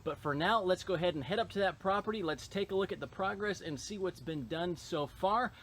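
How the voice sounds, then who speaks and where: A man's voice: high voice, a little fast, a bit bright. A man hosting a casual video, explaining his rental renovation in an upbeat, matter-of-fact way. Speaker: real estate investor vlogger. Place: renovation site, indoors and outdoors. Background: construction noise.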